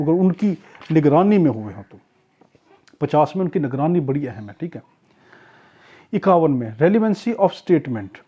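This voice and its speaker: A man's voice: a little high, dark tone, muffled recording. A Pakistani man giving an informal lecture as a tutor, explaining step by step in a didactic, repetitive way, often asking questions and answering them himself. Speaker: law tutor. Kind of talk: informal exam-prep lecture, explaining clause by clause.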